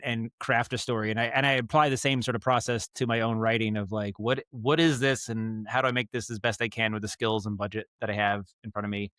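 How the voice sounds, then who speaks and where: An American man speaking as a podcast guest, telling stories in a casual, rambling and animated way. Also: mid-range voice, no echo, studio quality. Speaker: podcast guest, audio drama writer and producer. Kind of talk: casual interview storytelling.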